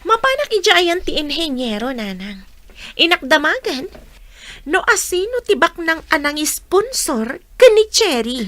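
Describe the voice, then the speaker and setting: A woman's voice: very high-pitched, sing-song, a little slow, fairly bright tone, heavily acted. A woman playing an eager, determined young student daughter in an audio drama, in a girlish manner. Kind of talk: Filipino audio drama acting.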